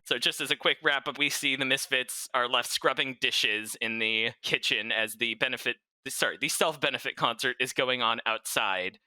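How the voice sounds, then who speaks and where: A man's voice: mid-range voice, normal speed, few pauses, pushed and bright, no echo. A man co-hosting a podcast, giving a casual recap and chatting. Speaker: podcast co-host.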